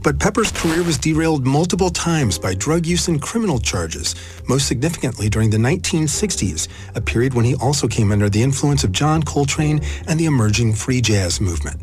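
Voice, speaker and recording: A man's voice: medium pitch, animated, fairly quick. A man hosting a music radio program, reading a scripted introduction. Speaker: jazz radio program host. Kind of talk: scripted narration.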